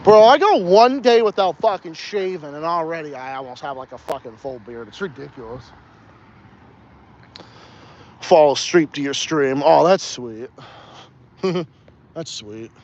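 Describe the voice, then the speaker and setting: A man's voice: fairly high pitch, normal speed, muffled recording. A young man with a slight Texas Southern accent hosting a casual outdoor livestream, rambling and swearing a lot while he walks around. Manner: animated, profane, rambling, talking while walking. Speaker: podcast host.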